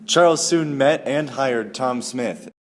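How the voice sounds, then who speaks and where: A man's voice: medium pitch, slow. A man reading scripted story narration as a narrator. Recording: echoey hall, clear background noise.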